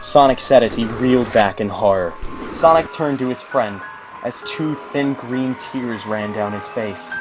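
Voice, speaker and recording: A man's voice: medium pitch, a little slow, music in the background, poor audio. A man giving scripted narration of a horror story as the narrator.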